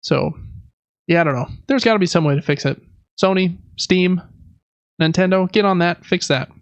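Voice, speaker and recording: A man's voice: high-pitched, normal speed, no room sound, dull audio. A man co-hosting a podcast, chatting casually about video games. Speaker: podcast co-host.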